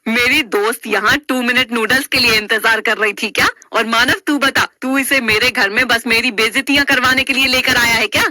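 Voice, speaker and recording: Lady doing a bossy older mother-in-law, sarcastic nagging, high bright voice, super dry sound, story style. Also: fairly even intonation, moderate pace, few pauses, dry recording. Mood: scolding. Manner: sharp, nagging, taunting.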